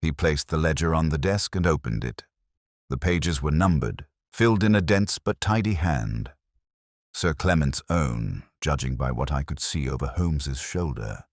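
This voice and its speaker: A man reading scripted audiobook narration of a Victorian detective story in a measured, formal, dramatic manner. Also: extremely low pitch, animated, no echo, clean studio sound.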